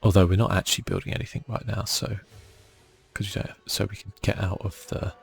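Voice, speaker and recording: A man hosting a relaxed ASMR video game playthrough, speaking softly and musing aloud as he goes. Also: low voice, a little fast, creaky, husky, soft background music. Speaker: gaming channel host.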